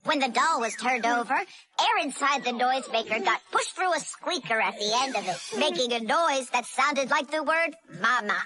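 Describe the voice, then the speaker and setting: A small, excitable cartoon child with a high, animated voice. The sound has clear noise around it, like a processed soundtrack. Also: female voice, moderate pace. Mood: confident, explaining. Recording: noisy, leftover music-removal artifacts.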